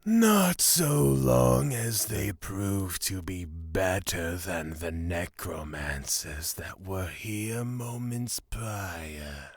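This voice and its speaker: A man acting a grim, menacing god of fear in a fantasy audio drama, with a gravelly, deliberate delivery. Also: low-pitched, lively intonation, no room sound, heavily acted. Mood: wry. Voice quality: gravelly, raspy. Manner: slow, deliberate, ominous.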